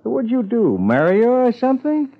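A man's voice: extremely high pitch, wildly swooping pitch, moderate pace, exaggerated voice. A man acting as a brash, defensive tough guy in a 1950s radio crime drama, with slangy, indignant talk. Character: brash, defensive male tough guy telling his story. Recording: muffled old radio recording.

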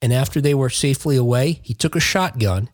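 A man's voice: medium pitch, brisk, no echo, studio quality. A man hosting a casual news chat with co-hosts, talking in a rambling, hesitant, conversational way. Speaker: podcast host.

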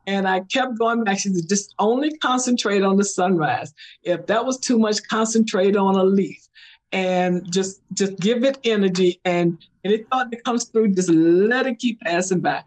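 A middle-aged woman, telling stories as a meditation guide in an interview, and she laughs often and slips into high-pitched voices when she quotes someone. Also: normal speed, a little mellow. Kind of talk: animated conversational storytelling.

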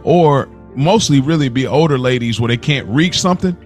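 Man, average pitch, podcast co-host, conversational, reflective, informal, light music in the background. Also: moderate pace. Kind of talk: casual chat.

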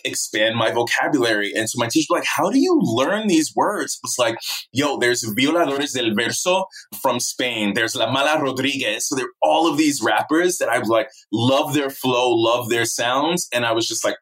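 A man's voice: lowish voice, poor audio. A man with a New York accent, a teacher, talking casually and eagerly as a podcast guest. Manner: enthusiastic, excitable, says "like" and "dude". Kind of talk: casual chat.

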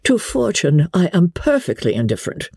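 A pompous, self-satisfied clergyman played by a woman holds forth in a classic novel reading. The delivery is husky and mellow, formal and ponderous, with grand rises and falls of pitch. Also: sing-song, normal speed, no echo, clean studio sound, full character voice. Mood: solemnly effusive. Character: pompous, self-satisfied clergyman suitor, adult man, long-winded.

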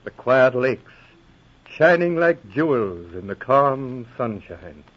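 A man narrating a 1940s radio drama in a stately, theatrical style with deliberate phrasing. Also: medium pitch, sing-song. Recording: muffled old radio broadcast. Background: music.

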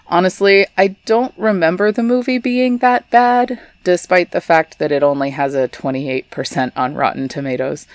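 A woman's voice: deep voice, no echo. A young adult woman from Texas, hosting a scripted storytelling podcast with chatty, wry asides. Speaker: podcast host.

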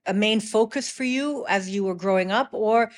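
A woman's voice: mid-range voice, normal speed. Middle-aged woman hosting an interview. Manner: warm, affirming listener with many short agreements. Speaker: podcast host. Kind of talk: casual interview conversation.

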